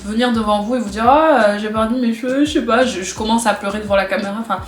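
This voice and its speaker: A woman's voice: fairly high pitch, quick pace, slight background noise. Woman with a cold, echoey room. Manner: chatty, repeats phrases for emphasis. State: has a cold, stuffy. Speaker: vlogger. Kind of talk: casual talk to camera.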